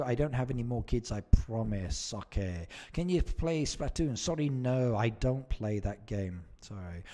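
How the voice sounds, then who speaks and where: Man, live stream host, casual gaming commentary, chatty, repetitive phrasing, medium pitch. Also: a little mellow. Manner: chatty, repeats phrases, moves between chat and game.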